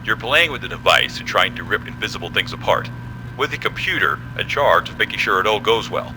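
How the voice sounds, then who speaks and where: A man acts a demanding male army general in an audio drama. The voice is lowish and very animated, blunt and confrontational, over a clearly noisy, humming background. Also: a little fast, natural voice. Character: gruff, demanding, impatient male military general. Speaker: voice actor.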